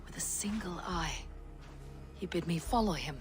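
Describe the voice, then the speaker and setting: An actress voicing a steady, stoic female Viking warrior in a video game, measured and dry in manner. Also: on the low side, over music, close to an everyday voice. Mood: troubled, hesitant. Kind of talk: video game character acting.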